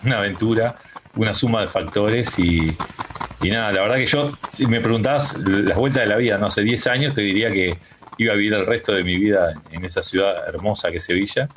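A man's voice: fairly low pitch, brisk, fairly bright tone, slight background noise, low-quality recording. A middle-aged man with a Uruguayan accent, chatting as a radio guest in a loose, rambling way with many restarts. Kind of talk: casual radio interview. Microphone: home microphone on a remote call.

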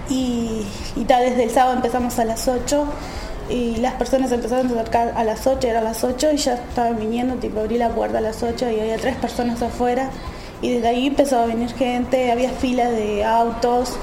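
A young woman with a Uruguayan accent, a student volunteer telling her story in a radio interview, halting with many fillers and restarts. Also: a little high, reverberant, low-quality recording. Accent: Uruguayan Spanish. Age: young adult.

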